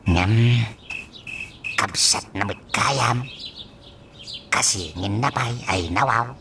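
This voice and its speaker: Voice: mid-range voice, slow. An actor in an Ilocano radio drama playing a restless, lovesick person lying awake and muttering with sighs and moans, over a noisy track with clicks and insects. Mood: wistful, brooding. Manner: sighing, moaning, exclamatory muttering.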